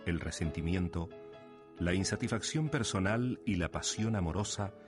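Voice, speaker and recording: A man's voice: deep voice, moderate pace, natural voice. A man narrating a scripted romantic radio drama as a solemn storyteller. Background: music underneath.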